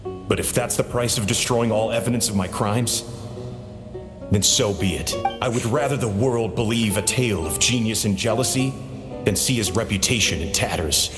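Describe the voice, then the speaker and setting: An actor playing a solemn, guilt-laden man in a video game, confessing in a grave, measured, eloquent monologue. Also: lowish voice, close to an everyday voice. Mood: bitter resolve. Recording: music under the voice. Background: game music.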